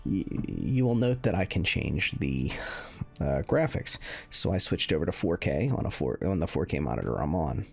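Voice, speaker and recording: A man giving casual, unscripted commentary as a gaming video creator, explaining things in a halting way. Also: rumbling low, sing-song, creaky, husky, faint music behind.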